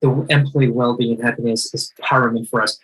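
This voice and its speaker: A man in a conversational discussion, talking in an animated, emphatic way and often rephrasing mid-sentence. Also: mid-range voice, fairly quick, husky, poor audio. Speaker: guest speaker.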